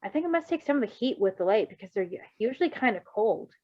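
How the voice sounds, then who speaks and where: A woman's voice: mid-range voice, sing-song, quick pace, dull audio. A woman voicing an awkward, hesitant young male superhero character in an amateur fan fiction reading, informal and self-effacing. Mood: shyly impressed. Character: skittish, awkward young male superpowered runaway, apologetic and shyly curious.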